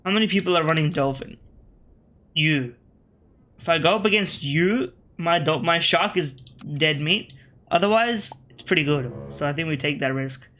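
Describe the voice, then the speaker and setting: A man's voice: a little high, normal speed, sharp tone, slight background noise. A man from Australia with an accent shifted by British media, talking through a strategy game in casual commentary. He thinks aloud, corrects himself and tosses wry asides at his opponents. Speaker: gaming video creator.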